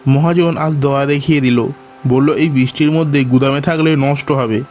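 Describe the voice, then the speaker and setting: A man voicing a gentle, worried poor village father in a cartoon. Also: mid-range voice, steady tone, moderate pace, soft background music, lightly acted. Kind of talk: cartoon voice acting.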